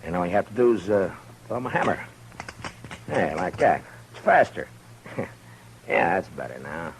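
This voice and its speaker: A very deep, gravelly voice for a steady frontier marshal in a 1950s radio western. He speaks unhurried and dry, with a low chuckle never far off. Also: a little hiss or hum. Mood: relaxed.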